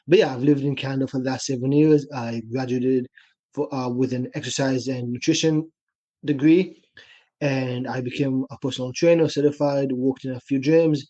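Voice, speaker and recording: A young man in his twenties from Israel, with an Israeli accent, telling his story in a relaxed way as a podcast guest. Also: mid-range voice, normal speed. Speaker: guest, personal trainer. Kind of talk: casual podcast interview. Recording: dull lo-fi remote audio.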